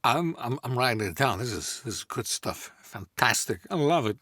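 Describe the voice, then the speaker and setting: A man voicing an awkward, nervous, eccentric oddball in a comic table read, with halting delivery and odd stresses. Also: mid-range voice, lively intonation, sharp tone, no room sound, clean studio sound. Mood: oddly deadpan.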